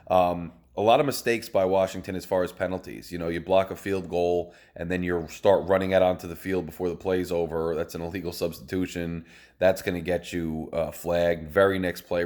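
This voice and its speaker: A man with a hoarse voice hosting a casual postgame football recap, rambling and enthusiastic, recorded on a phone. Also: sits low. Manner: rambling, enthusiastic, many fillers. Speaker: host. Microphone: phone video.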